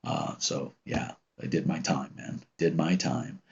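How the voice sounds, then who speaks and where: A man in his fifties from the United States with a deep, commanding voice, chatting casually on his livestream and telling stories in a rambling, relaxed way. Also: unhurried, vocal fry, husky. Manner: rambling, relaxed, with many ums and restarts. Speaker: channel host reading live chat. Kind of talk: casual livestream chat and storytelling. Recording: muffled lo-fi stream audio.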